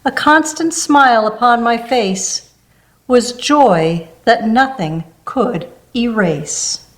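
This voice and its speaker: A woman reading a rhyming children's picture book aloud in a gentle storybook manner. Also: a little high, slow pace.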